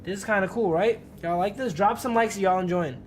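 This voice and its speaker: A man's voice: high voice, brisk, slight background noise. A man streaming a game with casual, chatty, sweary commentary full of slang. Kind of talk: casual livestream commentary and reading game text aloud. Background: music behind the voice.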